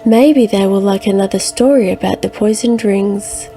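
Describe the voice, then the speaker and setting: A woman playing an unflappable New Age advice columnist, reading letters in a deadpan comic way. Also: mid-range voice, normal speed, faint music behind, close to an everyday voice. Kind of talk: reading listener letters, satirical.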